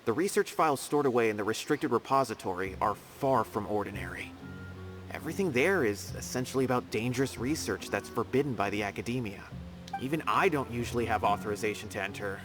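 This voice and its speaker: An actor voicing a polite, gentle male scholar in a video game, courteous and explanatory. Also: mid-range voice, animated, a little fast, close to an everyday voice. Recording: game music behind.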